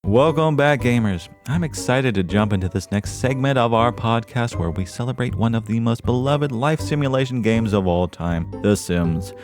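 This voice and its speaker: A man playing a genteel, earnest podcast host, speaking scripted lines in a formal, florid, measured way. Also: mid-range voice, animated, a little mellow, soft background music, lightly acted. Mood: enthusiastic.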